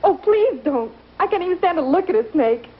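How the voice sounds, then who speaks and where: An actress playing a gentle, proper young schoolteacher in distress, in a 1950s television western. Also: female voice, extremely high pitch, expressive, brisk. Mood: alarmed. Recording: dull old TV soundtrack with music.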